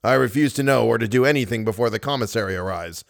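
A man's voice: medium pitch, fast, gravelly, no echo, studio quality, close to an everyday voice. A man voicing an impatient, obstinate male acting manager.

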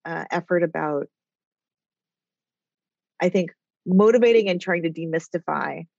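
A woman's voice: on the low side, measured pace, vocal fry, a little mellow, no echo, lo-fi sound. A woman guest in a casual interview, talking in a rambling way with a nasal voice. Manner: rambling, chatty. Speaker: author and executive guest.

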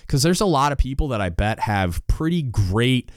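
A man with a loud, booming voice giving casual leadership advice as a podcast host, getting louder when fired up. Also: very animated, moderate pace, no echo, clean studio sound. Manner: gets louder when fired up, rambling restarts.

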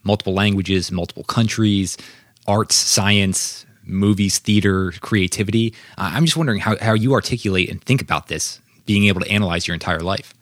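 A man's voice: on the low side, moderate pace, fairly bright tone, dry recording, studio quality. A man interviewing a professor, asking curious, open questions.

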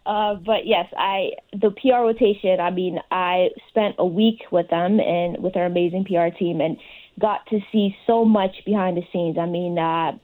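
Mellow-voiced young woman answering interview questions. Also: lowish voice, fairly even intonation, moderate pace, poor audio. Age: young adult. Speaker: interview guest, sports fellowship participant.

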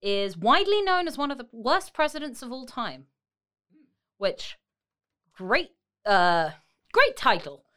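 A woman's voice: fairly high pitch, sing-song, unhurried, long pauses, a bit bright. A woman co-hosting a podcast, retelling history in a casual, wry and informal way. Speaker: podcast co-host.

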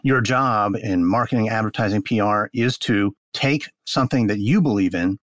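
A man's voice: fairly low pitch, normal speed, no room sound, clean studio sound. A man giving an articulate, conversational interview answer as a guest, with emphatic repetition. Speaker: podcast storyteller, interview guest.

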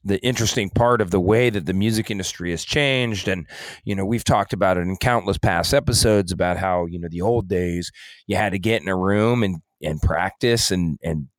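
Low-voiced man chatting casually on a podcast. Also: no echo, studio quality. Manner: halting, rambling delivery with frequent self-corrections. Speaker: podcast co-host. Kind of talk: casual conversational podcast discussion.

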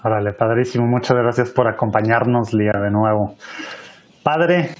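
A man's voice: medium pitch, moderate pace. Male panel host, casual and filler-heavy, video-call sound. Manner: chatty, colloquial, many fillers. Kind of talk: casual moderating and prayer.

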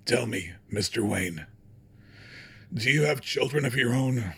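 Man acting a husky, slow-speaking old dying patriarch. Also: mid-range voice, animated, full character voice. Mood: solemn resolve. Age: older. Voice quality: husky, breathy, with a cough. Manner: grave, formal, deliberate speech. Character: dying old company chairman and father, solemn, remorseful. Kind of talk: audio drama acting.

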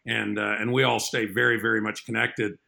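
A man's voice: fairly low pitch, flat delivery, fairly quick, vocal fry, pushed and bright, a little hiss or hum. A man in his fifties, a former Marine guest on a podcast, answering in an earnest, reflective way with frequent restarts. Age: 50s. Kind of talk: conversational interview answers.

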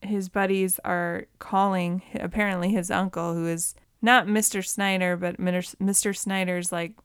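A woman's voice: on the low side, steady tone, normal speed, clean tone, no room sound, studio quality. A woman co-hosting a movie podcast, chatting casually and informally as she recaps a film. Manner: informal, conversational.

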